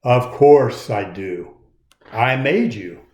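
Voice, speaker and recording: A man voicing a large, kindly male woodcarver who speaks gently, thoughtfully and reassuringly in a storybook. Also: medium pitch, animated, slow pace. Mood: gentle, reassuring. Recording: roomy, echoey sound.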